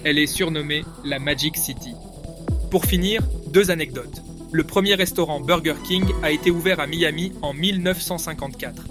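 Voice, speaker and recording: A man presenting a short segment, reading scripted facts evenly and smoothly. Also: on the high side, moderate pace, music in the background. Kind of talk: scripted fact narration.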